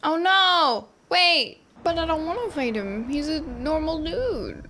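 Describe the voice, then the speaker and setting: A woman giving casual, playful, expressive live commentary as she streams a game. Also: high-pitched, slow pace, slight background noise. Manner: playful, expressive, exclamatory reactions.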